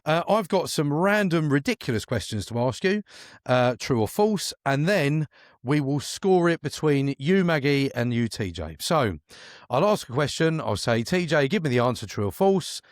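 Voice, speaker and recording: A man hosts a casual true-or-false quiz, teasing his guests as he goes. His pitch moves up and down a lot while he reads out the questions. The sound is close and dry. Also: expressive, moderate pace, dry recording. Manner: playful, teasing, repeats questions. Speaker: quiz host.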